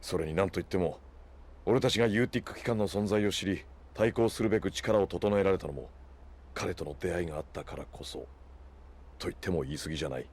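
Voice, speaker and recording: A man playing a composed, shrewd leader with a cool, dry, measured manner in an anime audio drama. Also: deep voice, a little mellow.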